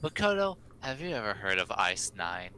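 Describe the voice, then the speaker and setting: Bright, forceful man bantering over a game. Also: on the low side, sing-song, moderate pace, slight background noise. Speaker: co-player reading character lines in a group playthrough. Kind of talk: casual banter and dialogue read-aloud.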